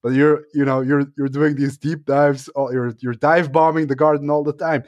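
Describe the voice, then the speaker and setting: A man's voice: on the high side, flat delivery, normal speed, darkish tone, dry recording, muffled recording. A man hosting a technology podcast, talking in a hesitant, casual way with many fillers. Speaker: podcast host. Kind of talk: casual interview chat.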